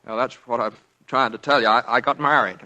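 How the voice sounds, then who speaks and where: Bright-voiced, flustered young newlywed. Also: male voice, mid-range voice, fairly even intonation, a little fast, low-quality recording. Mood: nervous, deferential. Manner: hesitant, stammering, placating. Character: eager, nervous young newlywed lawyer, bumbling and evasive. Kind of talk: 1940s radio comedy-drama acting. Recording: 1940s radio broadcast recording.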